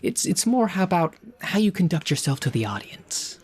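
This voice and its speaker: A man's voice: sits high, sing-song, normal speed, darkish tone, husky, full character voice. A man playing an awkward studio manager in an audio roleplay, stammering tactfully as he tries to placate. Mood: awkward, placating.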